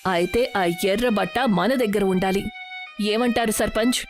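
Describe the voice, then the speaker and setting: A woman's voice: medium pitch, unhurried, music in the background, natural voice. A woman voicing a greedy, scheming landlady and shopkeeper in a moral story, with a demanding, indignant manner.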